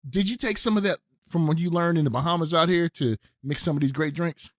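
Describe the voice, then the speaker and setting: Male host, slightly high voice, eager and stammery, dry booth sound. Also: quick pace, no room sound. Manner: enthusiastic, stammering restarts. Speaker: radio show host. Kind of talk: radio interview.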